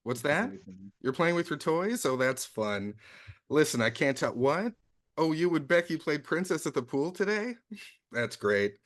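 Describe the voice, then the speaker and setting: An actor playing a casual, foul-mouthed detective dad, doting and blunt, in a comedic script read. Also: male voice, on the high side, big pitch swings, unhurried. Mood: doting, apologetic. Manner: casual, profane, comic.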